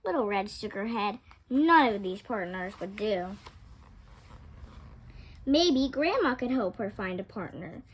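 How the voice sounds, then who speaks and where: A woman reading a children's picture book aloud with expressive, dramatic storytelling. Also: medium pitch, slow, long pauses, breathy edge. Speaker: storytime reader.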